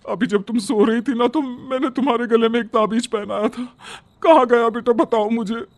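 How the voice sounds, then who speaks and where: A man's voice: extremely high pitch, fairly even intonation, moderate pace, dry recording, voice pushed up. A man voicing a frightened but determined village aunt with an urgent, pleading manner in a narrated horror story. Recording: background music under the voice.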